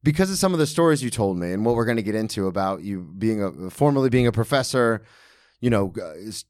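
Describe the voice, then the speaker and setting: A man's voice: on the low side, expressive, fast talker, a little mellow, no echo, clean studio sound. A young man hosting a casual comedy podcast, talking loosely with lots of fillers and restarts. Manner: loose, rambling, filler-heavy. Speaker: podcast host. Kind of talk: casual interview chat. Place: small studio at a table.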